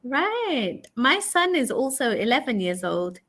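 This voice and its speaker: Encouraging woman tutor, high voice. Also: sing-song, unhurried, a bit bright. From England. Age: 40s. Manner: patient, encouraging, repeats the learner's answers back. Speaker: online English tutor. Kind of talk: casual conversation lesson.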